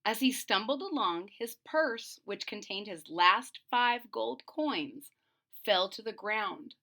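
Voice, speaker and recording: Female storyteller for children: slow, deliberate, very animated intonation, explains simply. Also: medium pitch. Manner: teacherly, deliberate, emphatic. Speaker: storyteller reading aloud to children. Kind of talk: expressive picture-book read-aloud with simple explanations.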